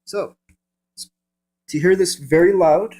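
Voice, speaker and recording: A man's voice: high voice, slow, warm tone. A man presenting a casual hands-on gadget demonstration, narrating each step in short fragments.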